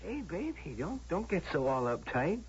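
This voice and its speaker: An actor with an American accent playing an easygoing young boyfriend, casual and slangy, in a radio drama style. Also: male voice, fairly high pitch, big pitch swings, normal speed, breathy edge, exaggerated voice. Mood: easygoing, teasing. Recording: muffled, lo-fi old radio broadcast.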